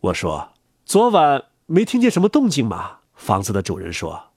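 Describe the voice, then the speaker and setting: A man reads a children's story aloud in a gentle, animated way. His voice is husky and its pitch moves a lot. The sound is dry and close, with soft music underneath. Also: moderate pace, no room sound. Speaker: storyteller reading to children, the father. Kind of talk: expressive children's story reading.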